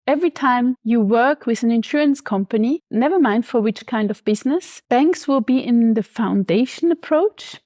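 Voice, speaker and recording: Swiss woman banker in an interview, highish voice, dry close sound, calm and precise. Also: no room sound. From Switzerland. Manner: measured, precise, explanatory. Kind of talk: explaining finance in an interview.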